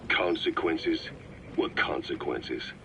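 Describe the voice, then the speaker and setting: An actor playing a stern, suspicious middle-aged military commander in a video game, deliberate and clipped. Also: male voice, extremely low pitch, pushed and bright, dull audio, slight background noise, full character voice. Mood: stern, accusing. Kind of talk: video game voice acting, radio briefing.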